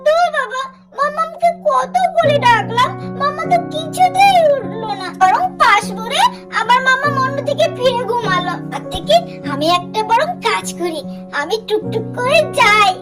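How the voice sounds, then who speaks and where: Casting: eager, pleading little doll girl, extremely high childish voice, playful and stubborn, cartoon story, music behind. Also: expressive, normal speed. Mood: curious. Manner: childish, wheedling, repeats words.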